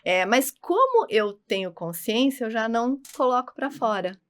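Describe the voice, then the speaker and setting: A woman's voice: a little high, big pitch swings, moderate pace. A middle-aged woman with a Brazilian accent, talking as a guest in an emphatic, conversational, explanatory way. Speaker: podcast guest, consultant.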